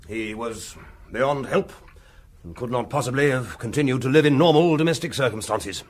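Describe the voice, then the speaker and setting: An actor playing a haughty, impatient Victorian lord with an upper-class English accent, curt and pompous, in period radio drama. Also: male voice, medium pitch, moderate pace, close to an everyday voice. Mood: cold, defensive.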